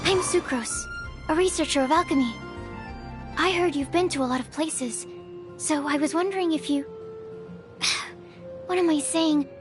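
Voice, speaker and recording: Shy young female alchemist, very high voice, curious, bookish, game character, music behind. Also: measured pace, pauses often. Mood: timid. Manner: timid, hesitant, softly earnest, bookish. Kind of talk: video game character voice lines.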